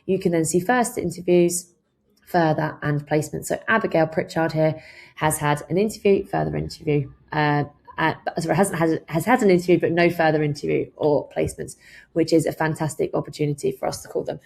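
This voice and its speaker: A woman presenting a software tutorial, explaining things step by step in an instructional way with informal asides. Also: sits low, steady tone, brisk, gravelly.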